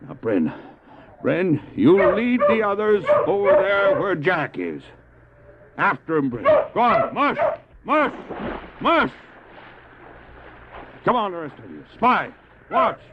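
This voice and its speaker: A man's voice: sits high, huge pitch swings, takes it slowly, long pauses, very noisy, exaggerated voice. A man acting an anxious, determined dog-sled driver in a radio drama, telling his story earnestly and urgently. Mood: coaxing, commanding. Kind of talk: first-person dramatic narration, radio drama. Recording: tinny narrow-band old cassette, music behind.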